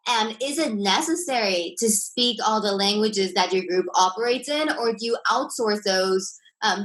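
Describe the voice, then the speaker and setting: A woman's voice: medium pitch, fairly bright tone, roomy sound, rough recording. A woman hosting an interview who asks several questions in a row. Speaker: interviewer, host.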